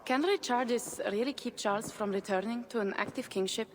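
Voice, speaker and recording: An actress playing a proud, loyal young Viking jarl in a game cutscene, speaking firmly and earnestly. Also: female voice, a little high, brisk, a little hiss or hum, close to an everyday voice. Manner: firm and earnest. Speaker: jarl character.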